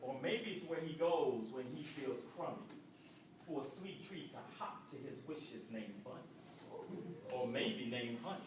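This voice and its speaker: A man's voice: on the high side, animated, a little slow, noisy background. A young man from Jamaica performing rhythmic, rhyming spoken word poetry at an arts center. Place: indoor arts center hall. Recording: echoey room from far off.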